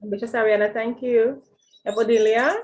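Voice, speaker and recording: A woman co-hosting an online youth group, briskly calling on each speaker and thanking them. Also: on the high side, steady tone, darkish tone.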